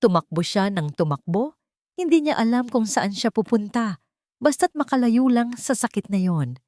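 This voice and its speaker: A woman reading a scripted romantic drama as a narrator, in a measured and earnest storytelling delivery. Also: medium pitch, darkish tone, no echo, clean studio sound.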